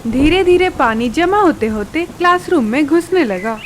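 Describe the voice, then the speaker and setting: Female storyteller, high voice, scripted children's narration, faint ambience with birdsong. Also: animated, moderate pace, slight background noise.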